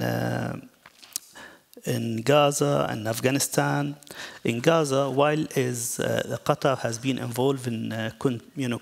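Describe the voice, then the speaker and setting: A man from Palestine, an English speaker, speaking as a panelist in a discussion, measured and hesitant with many fillers. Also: mid-range voice, gravelly. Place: stone-walled hall, seated on stage.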